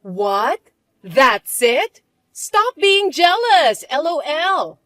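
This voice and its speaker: A woman voicing a shrill, spoiled stepsister. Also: big pitch swings, very slow, a bit bright, no echo, clean studio sound, full character voice. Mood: taunting. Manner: shrill, taunting and bossy. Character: spoiled, mocking young adult stepsister.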